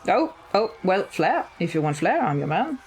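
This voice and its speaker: A woman's voice: medium pitch, sing-song, normal speed, a little mellow, slightly rough. A woman voicing an irritable, sarcastic male demon in an audio story, with a grumbling, sweary drawl over guitar music. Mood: nervous, obliging.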